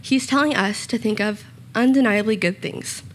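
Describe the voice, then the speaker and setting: A young woman giving a short church sermon with a slightly raspy voice, earnest and personal, with small self-conscious asides. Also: medium pitch, normal speed, a little hiss or hum. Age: young adult. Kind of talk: sermon with scripture reading and prayer. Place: church room.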